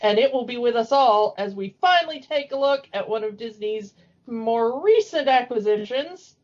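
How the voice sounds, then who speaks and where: A woman's voice: a little high, expressive, normal speed, darkish tone. A woman co-hosting a podcast in a casual, chatty discussion. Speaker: podcast co-host. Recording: dull lo-fi audio.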